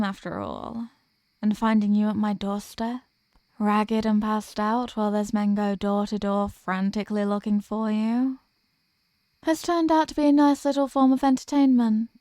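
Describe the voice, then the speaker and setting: Elder vampire woman , voiced by an actress: aristocratic, possessive, teasing. Unhurried delivery, close dry sound, ASMR roleplay. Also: medium pitch, a little mellow, no room sound, lightly acted. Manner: haughty, teasing, formal and deliberate diction. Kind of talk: ASMR roleplay audio drama.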